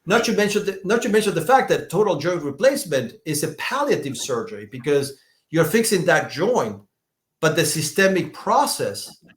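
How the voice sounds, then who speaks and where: A man's voice: a little high, normal speed. Fifty-something man telling stories in an echoey room. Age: 50s. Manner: lively storytelling with frequent restarts and repeated words. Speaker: functional medicine doctor, guest. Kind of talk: conversational podcast interview.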